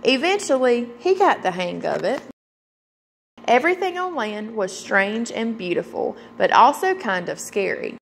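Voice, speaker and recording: A woman reading a picture book aloud to young listeners in a deliberate, expressive storytelling manner. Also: fairly high pitch, gravelly, a little hiss or hum. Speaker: children's storybook reader.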